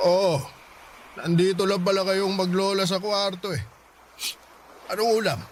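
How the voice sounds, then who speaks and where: A man's voice: high-pitched, steady tone, a little slow, slight background noise. A bitter, defensive widowed father. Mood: grumbling. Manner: defensive, sulky. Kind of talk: radio drama acting. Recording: music bed underneath.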